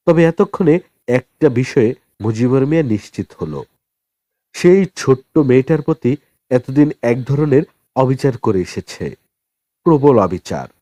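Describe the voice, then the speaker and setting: A man narrating a novel as an audiobook in a dramatic, expressive storytelling style with deliberate pauses. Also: medium pitch, no echo, clean studio sound.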